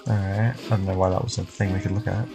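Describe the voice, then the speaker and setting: A man's voice: low-pitched, flat delivery, quick pace, creaky. A man streaming a video game, reading the dialogue aloud and commenting casually. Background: game music.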